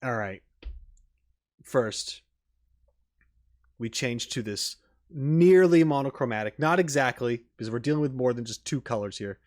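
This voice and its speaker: A man's voice: mid-range voice, measured pace, pauses often, dry recording, clean studio sound. A man doing casual, off-the-cuff reaction commentary, rambling and repeating words as he thinks aloud. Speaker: YouTube reaction host.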